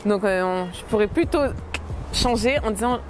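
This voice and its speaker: A woman in her late twenties, a dancer, talking casually and hesitantly in an interview, with many fillers and soft laughs. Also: medium pitch, lively intonation, moderate pace, background noise. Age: late 20s.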